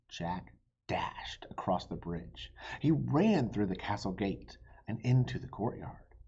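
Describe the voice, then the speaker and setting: A man reading a children's book aloud as an expressive narrator. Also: fairly low pitch, slightly rough, lo-fi sound. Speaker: storybook reader.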